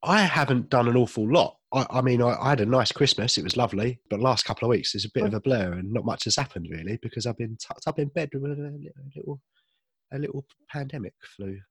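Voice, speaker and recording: Chatty man with a husky voice. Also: mid-range voice, fast talker, fairly bright tone, no room sound. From United Kingdom. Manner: chatty, self-deprecating, laughs often. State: slight cough, a bit chesty. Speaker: podcast co-host. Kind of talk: casual chat.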